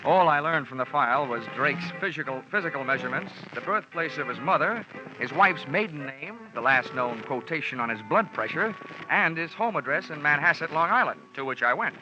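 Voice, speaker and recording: A man's voice: on the high side, expressive, a little fast, lightly acted. Man acting a wisecracking radio detective, muffled vintage recording. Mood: dry narration. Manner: sardonic, quippy. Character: wisecracking, cynical freelance male insurance investigator. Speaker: radio drama lead and narrator. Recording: muffled old radio broadcast, music behind.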